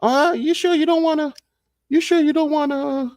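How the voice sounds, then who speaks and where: A man voicing a polite young woman at a rainy bus stop, high and fussing. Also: fairly even intonation, moderate pace, darkish tone, no echo, clean studio sound, close to an everyday voice. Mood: concerned.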